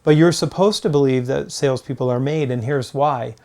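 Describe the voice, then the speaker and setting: A man hosting a business advice video, speaking straight to the viewer in an emphatic, motivational way with deliberate repetition. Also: medium pitch, a little mellow. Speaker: host, sales author and coach.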